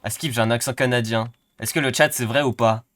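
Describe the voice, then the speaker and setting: A man's voice: medium pitch, steady tone, brisk, fairly bright tone. A young man co-playing a game on a stream, joking and playful in casual chat. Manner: playful, laughs easily, exclamatory. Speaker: guest co-player.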